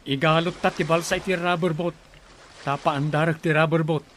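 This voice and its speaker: A man's voice: fairly high pitch, fairly even intonation, brisk, a bit bright, slight background noise, close to an everyday voice. A man playing a humble, watchful younger sibling in an audio drama, urgent and practical.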